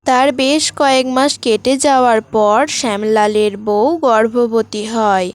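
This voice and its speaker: A woman narrator with a fairly high voice tells a sad cartoon story. She reads from a script in a storytelling style. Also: steady tone, a little slow, slight background noise.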